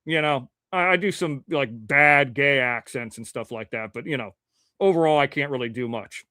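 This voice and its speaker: A man from Ohio hosting a live show, chatting casually and reading the chat, rambling and swearing now and then in clean, crisp diction. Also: mid-range voice, moderate pace, pushed and bright, no echo, studio quality.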